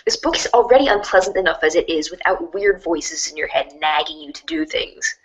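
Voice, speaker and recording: A woman narrating a second-person storybook in an expressive, wry way. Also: lowish voice, a little fast, rough recording.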